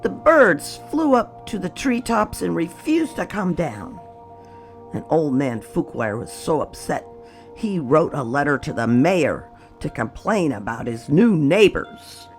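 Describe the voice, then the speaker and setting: Slow, animated storytime narrator with gravelly creak. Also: medium pitch, faint music behind. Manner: expressive, unhurried, addressing young children. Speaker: storytime host and read-aloud narrator. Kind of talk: children's picture book read-aloud.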